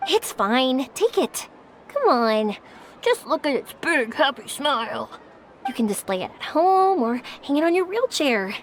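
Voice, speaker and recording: A woman playing a cheerful, chatty, warm-hearted young traveler in a video game, bubbly and encouraging. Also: sits high, very animated, unhurried, a little hiss or hum. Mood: kind, encouraging. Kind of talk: scripted video game story dialogue. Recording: studio voice over background music.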